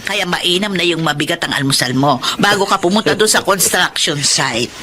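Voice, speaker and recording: A woman's voice: fairly low pitch, moderate pace, sharp tone, slight background noise. An actress playing a doting mother who fusses over her grown son's meals and safety in a radio drama. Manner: fussing, tender, motherly.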